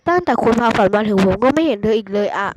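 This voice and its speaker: A woman's voice: on the high side, animated, very fast, natural voice. A woman voicing a polite, naive, anxious male resort employee from a comic, speculating aloud. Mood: puzzled. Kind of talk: amateur comic read-aloud. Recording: clearly noisy home recording with clicks.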